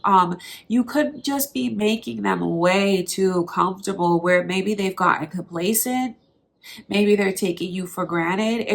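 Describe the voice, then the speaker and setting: A woman's voice: mid-range voice, a bit bright. A woman with a blocked, nasal voice from allergies, giving a tarot reading straight to her viewers in an earnest, reassuring way. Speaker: tarot reader.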